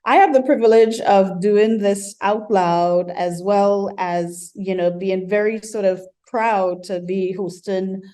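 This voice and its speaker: A woman from Trinidad and Tobago, an architecture professor giving a measured welcome talk at an online colloquium, with frequent ums and uhs, on a computer mic. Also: medium pitch, fairly even intonation, mellow.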